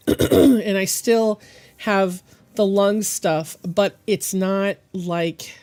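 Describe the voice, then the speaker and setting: A woman streaming while she draws, her voice hoarse and deepened by bronchitis, talking in a halting, rambling way and clearing her throat often. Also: fairly even intonation, a little mellow. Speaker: digital art streamer. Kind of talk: casual live-stream chat.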